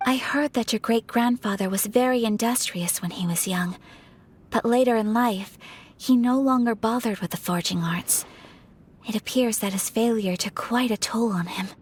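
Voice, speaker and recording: A woman's voice: on the high side, normal speed, husky. A woman playing a refined, earnest young noblewoman in a game cutscene, speaking formally and courteously with composure. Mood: gentle, sober. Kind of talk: scripted game cutscene dialogue.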